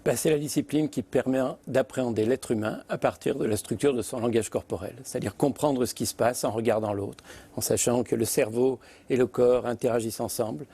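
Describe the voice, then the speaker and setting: French man, gravelly and dark-toned, calm explainer. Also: mid-range voice, brisk. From France. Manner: calm, explanatory, slightly hesitant. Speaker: guest expert. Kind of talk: interview explanation.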